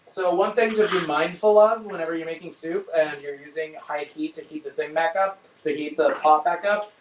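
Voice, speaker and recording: Roomy, higher-pitched man teaching cooking casually. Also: moderate pace. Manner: informal, thinking aloud. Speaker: chef leading a cook-along. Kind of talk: casual live cooking instruction. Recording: roomy, distant mic, faint noise.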